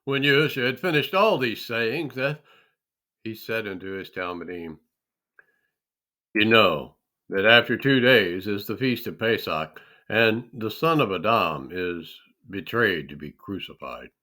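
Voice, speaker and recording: Male scripture reader: solemn and deliberate, very unhurried, with a bright, forceful tone and dramatic weight. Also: lowish voice, animated.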